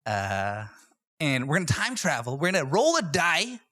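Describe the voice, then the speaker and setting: A man's voice: fairly high pitch, huge pitch swings, moderate pace, a bit bright, no room sound. A man in his twenties from California, co-hosting a podcast and running the game, playful and swearing casually. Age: 20s. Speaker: podcast co-host and game master. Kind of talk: casual chat.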